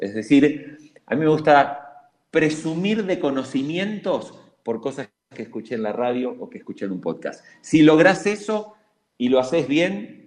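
A man's voice: medium pitch, darkish tone. A man with an Argentine accent, giving emphatic, coaching advice on radio and podcasts in a panel talk.

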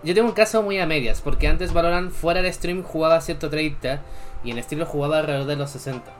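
A man's voice: a little high, quick pace, soft background music. A young man from Chile, a streamer reading game patch notes aloud and chatting casually with viewers between points. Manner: casual, chatty asides between read-out items.

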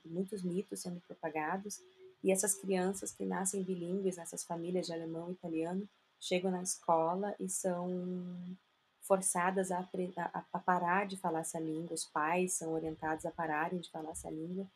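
A woman's voice: on the low side, flat delivery, normal speed, darkish tone, slight background noise, low-quality recording. A woman from Brazil, a guest explaining bilingual education earnestly in a casual interview, with frequent "né?" tags. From southern Brazil.

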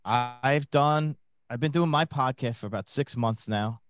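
A man's voice: mid-range voice, normal speed. A man in a small home studio, a podcast guest in easygoing casual chat over a video call. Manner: easygoing, brief agreeing replies.

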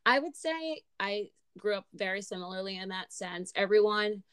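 A young woman in her twenties co-hosts a relaxed podcast chat, lively and quick to laugh. Her voice is slightly raspy from screaming. The sound is dry and close, with no room around it. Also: medium pitch, no room sound. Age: 20s. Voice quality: animated, laughs often. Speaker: podcast co-host. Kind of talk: casual chat.

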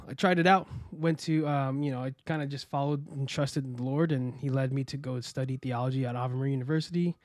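A young American man telling his story as a podcast guest, relaxed and rambling with many fillers and restarts. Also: medium pitch, no room sound, studio quality. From United States. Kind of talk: casual interview chat.

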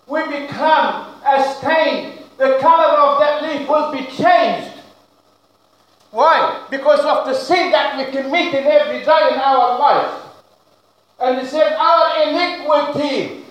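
A man preaching a sermon as pastor, speaking English as a second language with broken grammar, loud and emphatic, slowing down to stretch key words. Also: extremely high pitch. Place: church. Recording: very echoey room.

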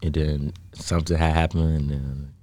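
A man's voice: rumbling low, flat delivery, normal speed, dark tone, no echo. A man in his twenties with an American accent, chatting casually as an interview guest in laid-back, slangy phrasing. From Chicago south suburbs, US. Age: 20s. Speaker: interview guest, music artist.

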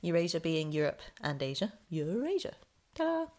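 A chatty young woman talks her way through a relaxed solo podcast, with plenty of ums and friendly asides. Her voice sits fairly low and comes across a little nasal and snuffly. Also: normal speed. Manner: chatty, full of ums, rambling. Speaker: podcast host.

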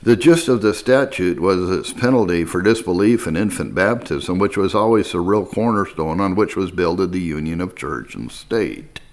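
Low-voiced man reading history aloud. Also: normal speed, few pauses. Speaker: narrator reading historical texts. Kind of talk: scripted audiobook-style narration of history.

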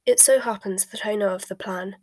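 A woman voicing a cold, controlled male spy with a flat, measured manner in an audiobook story. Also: medium pitch, slight background noise, close to an everyday voice. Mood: cool, controlled.

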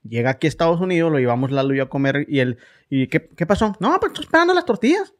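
A man's voice: a little high, sing-song. A man with a Mexican accent co-hosting a podcast, telling stories in a joking, casual chat. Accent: Mexican Spanish. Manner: quick, joking storyteller. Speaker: podcast co-host. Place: home in Oklahoma.

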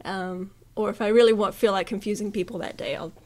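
A woman's voice: medium pitch, fairly even intonation, a little fast, a little mellow. A woman in her thirties from New Zealand with a slight New Zealand accent, chatting as a musician guest in an interview, laughing often and telling stories with animation. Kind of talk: casual interview chat.

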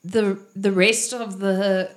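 A woman's voice: mid-range voice, level tone, takes it slowly. Chatty woman running a tabletop game. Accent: Irish. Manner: chatty, punny, laughing asides. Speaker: game master.

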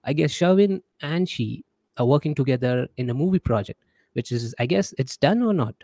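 A man's voice: mid-range voice, expressive, normal speed, a little mellow, no echo. A man with an Indian accent hosting a casual interview, chatty, often repeating his words and acting out the messages he quotes. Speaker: podcast host.

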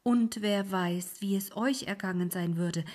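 A woman's voice: medium pitch, lively intonation, measured pace, darkish tone, slightly rough. A woman voicing an alarmed old ferryman. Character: old male ferryman, patient but alarmed.

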